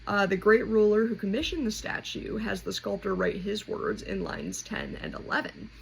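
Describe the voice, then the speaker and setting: Creaky-voiced woman explaining casually, noisy recording. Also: medium pitch, wildly swooping pitch, moderate pace. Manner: informal, conversational, thinking aloud. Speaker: host of a poetry explainer. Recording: clearly noisy home recording.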